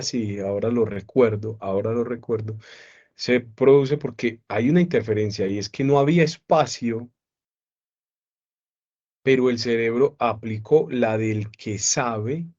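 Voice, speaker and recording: A man teaching a psychology class online, explaining at an unhurried pace and often checking that his students follow. His voice sits in a middle pitch. The sound is dull and lo-fi, as if picked up by a computer microphone on a video call. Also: a little mellow. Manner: explains slowly with repeated questions to students.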